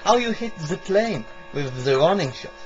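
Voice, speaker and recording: Man acting menacing sergeant, slightly high pitch, stern, pointed, audio drama, dull lo-fi sound. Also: expressive, moderate pace, a little mellow, faint music behind, close to an everyday voice. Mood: coldly threatening.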